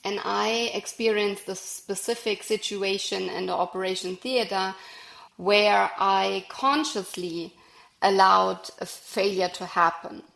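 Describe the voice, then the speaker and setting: A woman's voice: mid-range voice, steady tone, takes it slowly, vocal fry, fairly bright tone. German-accented woman in a roomy space. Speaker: podcast guest, surgeon. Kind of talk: conversational interview answers.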